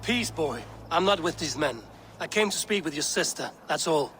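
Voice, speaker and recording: A woman playing a steadfast, commanding Viking warrior in a historical game, speaking in measured, blunt, formal phrases. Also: sits low, expressive, a bit bright, slight background noise. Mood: calm, blunt. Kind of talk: dramatic period game acting.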